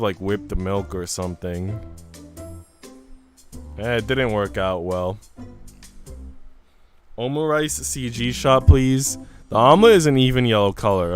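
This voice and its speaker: A young man, rambling informally as he livestreams a visual novel, switching between slangy chat and reading aloud. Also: fairly low pitch.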